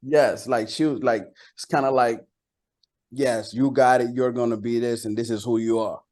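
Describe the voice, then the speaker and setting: A man from Costa Rica who grew up speaking Spanish talks in a relaxed interview as a rapper. His pitch sits in the middle for a man. He uses slang freely and repeats words to drive a point home. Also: moderate pace. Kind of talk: casual interview chat.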